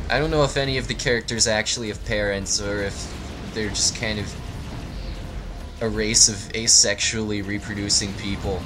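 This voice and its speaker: A man improvising a comedic story in a rambling, profane, tongue-in-cheek way as a let's play narrator. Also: lowish voice. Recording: music behind the voice. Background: music.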